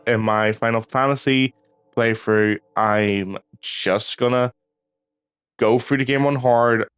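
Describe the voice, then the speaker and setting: A man, slightly hoarse from a cough, chatting casually and rambling with lots of ums as he plays a game. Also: medium pitch, fairly even intonation, slow pace, a bit bright, dry recording, dull audio. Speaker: gaming YouTuber. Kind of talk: casual chat over gameplay.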